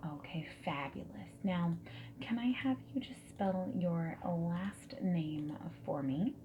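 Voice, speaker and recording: A woman playing a friendly, whimsical dating-service consultant in a gentle ASMR roleplay, soft-spoken and breathy, often slipping into a whisper. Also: lowish voice. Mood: friendly, attentive. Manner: gentle, reassuring, chatty, unhurried. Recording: faint background hiss, keyboard typing. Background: mechanical keyboard typing.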